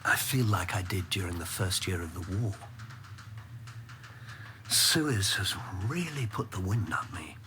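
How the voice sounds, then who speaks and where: An actor playing a worried, discreet government confidant in a measured, understated radio drama style. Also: male voice, sits low, expressive, noisy background. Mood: fearful, grave. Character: Lewis, worried, principled male government confidant, discreet and pragmatic. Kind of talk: naturalistic radio drama acting.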